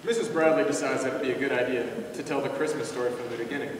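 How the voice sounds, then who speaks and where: A man's voice: medium pitch, steady tone, very fast, natural voice. A man playing the plain storyteller of an amateur readers theater Christmas skit, reading scripted narration. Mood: narrating. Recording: distant, very echoey hall with background noise.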